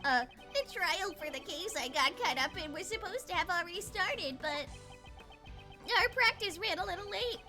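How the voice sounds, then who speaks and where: A woman acts out a naive, flustered young guy in a game. The voice is extremely high and very animated, over loud music. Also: moderate pace. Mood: sheepish.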